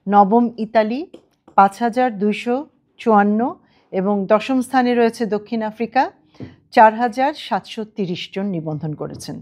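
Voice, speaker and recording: A woman's voice: medium pitch, normal speed, a little mellow. A woman news presenter with a Bangladeshi accent reading scripted news items.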